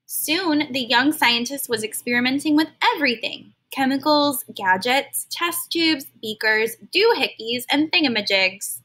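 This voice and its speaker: Bright-voiced woman reading a storybook aloud. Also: high-pitched, a little slow, few pauses. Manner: expressive, stops to explain words simply. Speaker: teacher reading aloud to children. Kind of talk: storybook read-aloud with explanatory asides.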